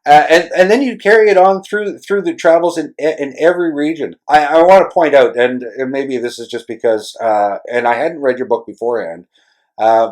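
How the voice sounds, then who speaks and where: A man's voice: a little high, a little mellow. A man hosting a casual interview, talking in a rambling, hesitant way and building up to long questions. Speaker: podcast host interviewing a travel writer.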